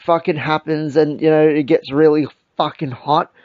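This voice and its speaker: A young Australian man with a croaky voice, hosting a casual podcast chat, rambling and swearing with lots of fillers. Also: a little high, steady tone, normal speed, no echo. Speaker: podcast host. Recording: dull lo-fi sound.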